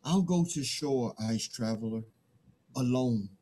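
A man playing a weary, despairing human in a play reading, halting and anguished. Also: mid-range voice, lively intonation, dark tone. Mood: weary, doubtful. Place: video call, virtual background.

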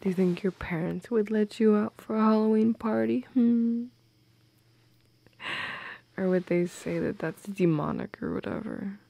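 Soft, creaky-voiced young woman, playfully intimate. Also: mid-range voice, measured pace, dry recording. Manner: playful, affectionate, teasing, soft and breathy. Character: playful, affectionate goth girlfriend. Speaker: audio roleplay performer playing a girlfriend. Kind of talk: intimate girlfriend audio roleplay, ASMR-like. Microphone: close microphone, dry.

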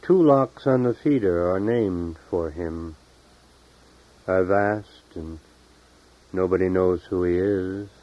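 Male poet, low voice, solemn deliberate delivery with long pauses, on a dull 1970s tape. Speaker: poet reading own work. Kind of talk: poetry reading, solemn and unhurried.

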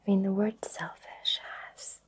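A woman whispering breathily in a soothing, reassuring way with long pauses, guiding an ASMR Reiki session. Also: mid-range voice, fairly even intonation. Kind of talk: whispered ASMR personal attention.

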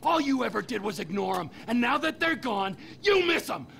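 A volatile middle-aged criminal in a game cutscene. The voice sits very high and swings wildly, with a bright, sharp edge. He talks crude and mocking. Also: sing-song, moderate pace, creaky, slight background noise, exaggerated voice. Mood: mocking, defensive. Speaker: game character, bearded criminal.

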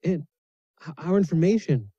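A man's voice: sits high, animated, a little slow, mellow, husky, dry recording, full character voice. An actor playing a vulnerable, distressed young man in an audio drama. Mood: bewildered. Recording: slightly muffled, dull recording.

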